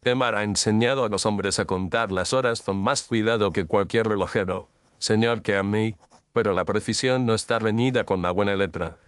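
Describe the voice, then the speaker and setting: A man playing a courteous, precise Victorian gentleman detective in an audio story, with formal, measured phrasing. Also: fairly low pitch, smooth, dry recording, close to an everyday voice. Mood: courteous, assured. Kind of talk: audio story character dialogue.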